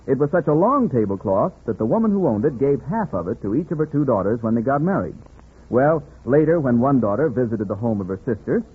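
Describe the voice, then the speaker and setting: A man's voice: medium pitch, slightly rough, phone-quality sound. An American man announcing in polished 1940s radio commercial style, like a salesman addressing listeners. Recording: thin, murky 1940s radio.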